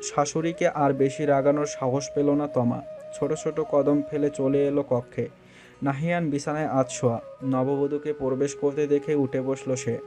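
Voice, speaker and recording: A man's voice: medium pitch, flat delivery, moderate pace, over music. A man reading scripted narration for a romantic audio story.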